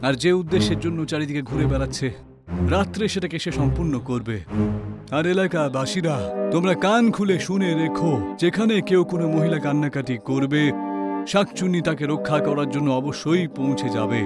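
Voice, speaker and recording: A man's voice: a little high, natural voice. An actor playing a grave, authoritative village holy man giving warnings in a horror story cartoon. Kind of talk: animated horror story dubbing.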